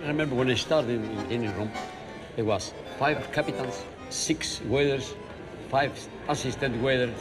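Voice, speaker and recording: A man's voice: medium pitch, moderate pace. An older man, a veteran waiter, chatting in broken English and repeating his words emphatically. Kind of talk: casual chat over drinks. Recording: music playing underneath.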